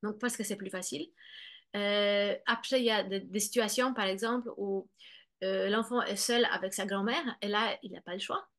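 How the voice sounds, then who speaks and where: A woman's voice: medium pitch, steady tone, moderate pace, a bit bright. Hesitant woman explaining, with many fillers. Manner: acts out children's and parents' words. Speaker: guest expert on bilingual children. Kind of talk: explanatory conversation.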